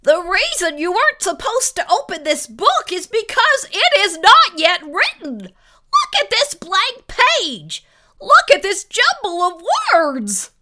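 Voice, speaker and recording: A woman's voice: very high-pitched, huge pitch swings, slow, sharp tone, pitched above the performer's own voice, full character voice. A woman voicing a grumpy, bossy male pig writer in a children's picture book, with an indignant, scolding manner. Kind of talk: animated children's read-aloud character voice.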